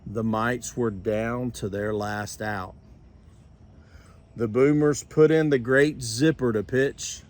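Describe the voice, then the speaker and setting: An older man reading a children's storybook aloud with expressive, deliberate storytelling. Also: mid-range voice. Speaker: grandparent storyteller. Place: outdoors.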